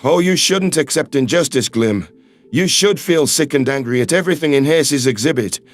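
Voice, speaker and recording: A man voicing a calm, earnest human man who speaks in firm, measured, reassuring tones. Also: medium pitch, faint music behind, voice pushed down, natural voice. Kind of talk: audiobook character voice.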